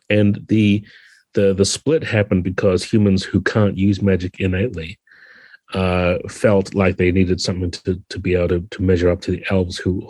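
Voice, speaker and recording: Kiwi guy, husky and pretty flat delivery, chatting as a podcast guest. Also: deep voice, dry recording. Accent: New Zealand. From New Zealand. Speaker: podcast guest, tabletop game designer. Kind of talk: casual podcast interview.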